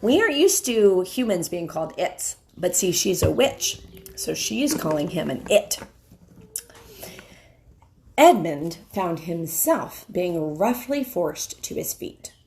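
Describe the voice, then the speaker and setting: A middle-aged woman reads a story aloud like a teacher. Her delivery is unhurried and her pitch moves in lively swings, with deliberate phrasing for young listeners. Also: vocal fry, slight background noise. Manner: deliberate, pauses to explain to listeners. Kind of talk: expressive storybook read-aloud. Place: indoors, wood-panelled room.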